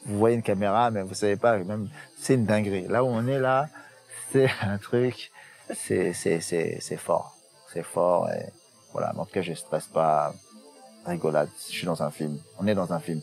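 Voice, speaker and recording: A low-voiced man out in a jungle, chattering in excitable slang and cracking jokes. He jumps from nervous yelps to laid-back confessional talk, always quick with a wisecrack. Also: creaky, soft background music. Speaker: reality show contestant. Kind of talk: casual banter and confessional. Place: outdoors in a jungle.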